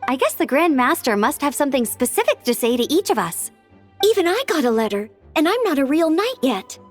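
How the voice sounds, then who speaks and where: A woman's voice: sits high, very animated, brisk, faint music behind. A woman playing an earnest young trainee knight in a video game, polite, eager and a little hesitant. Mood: earnest, hopeful.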